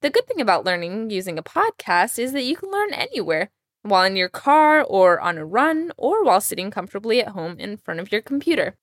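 A young woman raised in Texas, speaking with a Texan American accent, hosting a podcast language lesson with clear, careful pronunciation. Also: mid-range voice, very animated, brisk, no echo, clean studio sound. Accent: American English, Texas. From Iran. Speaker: language teacher, podcast host.

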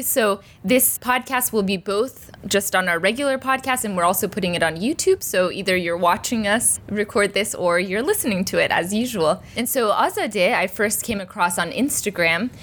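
A woman's voice: medium pitch, fairly bright tone. Upbeat woman hosting a podcast. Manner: upbeat, laughs often. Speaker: podcast host. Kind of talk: casual interview.

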